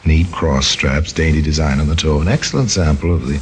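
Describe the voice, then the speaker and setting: A man hosting and narrating an old-time radio mystery, wry and deliberate, with a macabre relish. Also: very deep voice, a little hiss or hum. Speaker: narrator and host.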